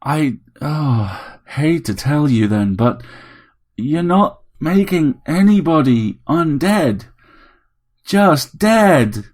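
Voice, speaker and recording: A man's voice: on the high side, sing-song, very slow, darkish tone, voice pushed up, heavily acted. A man voicing a grumpy, sarcastic middle-aged man with an irritable manner.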